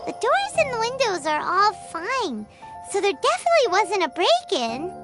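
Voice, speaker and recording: Very high, bouncy little creature companion, jumpy and dramatic; game music behind. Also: female voice, wildly swooping pitch, normal speed, heavily acted. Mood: puzzled. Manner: speaks of herself in third person, dramatic and jumpy. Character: tiny floating female companion creature, excitable. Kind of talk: video game voice acting.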